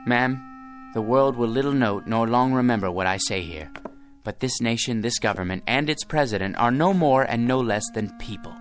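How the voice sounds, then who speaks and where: A man's voice: mid-range voice, normal speed, close to an everyday voice. An actor in a radio drama playing a hapless everyman with grand pretensions, theatrical, moving between pompous and faltering. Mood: pompous, presidential. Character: hapless, grandiose everyman dreaming of being president, then genie. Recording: radio drama with music underneath.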